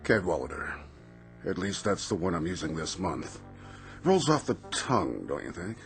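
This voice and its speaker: A deep male voice plays an urbane supernatural tempter in a radio drama. The delivery is richly animated, teasing and sly, with light music underneath. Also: normal speed. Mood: playful, urbane. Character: suave, sly, jovial supernatural tempter offering bargains.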